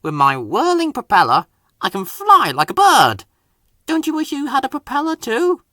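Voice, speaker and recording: A man's voice: very high-pitched, wildly swooping pitch, moderate pace, bright, forceful tone, no room sound, clean studio sound, pitched above the performer's own voice, full character voice. Man voicing a boastful, swooping storybook helicopter. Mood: showy. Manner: smug, boasting. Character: boastful, proud male helicopter.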